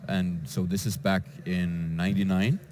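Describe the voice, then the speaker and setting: A man from Denmark, a database developer answering interview questions in a conference lounge, with frequent halting restarts. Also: deep voice, level tone, warm tone, a little hiss or hum. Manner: hesitant, with many restarts and fillers.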